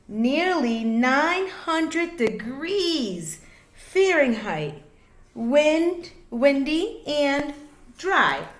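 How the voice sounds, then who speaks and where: A woman reading a children's picture book aloud like a teacher, in an expressive, sing-song way with drawn-out words. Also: sits high. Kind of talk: read-aloud children's storytelling. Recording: echoey room.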